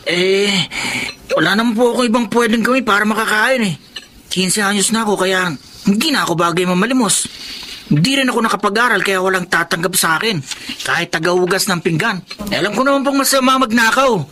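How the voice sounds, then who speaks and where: A man's voice: high-pitched, fairly quick, sharp tone, slight background noise. An actor playing a hungry fifteen-year-old street boy who steals, speaking in a pleading, defensive way in a radio drama.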